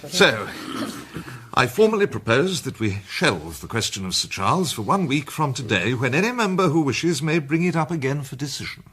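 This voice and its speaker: An actor playing a measured, courteous British gentleman chairing a club, speaking formally in a radio drama. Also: medium pitch, sing-song, breathy edge. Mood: reasoned, formal.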